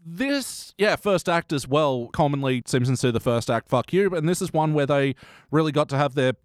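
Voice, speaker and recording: A man's voice: on the high side, expressive, normal speed, no room sound, clean studio sound. A man hosting a casual comedic review podcast, joking and swearing freely. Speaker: podcast host. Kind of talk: casual comedic chat reviewing a cartoon episode.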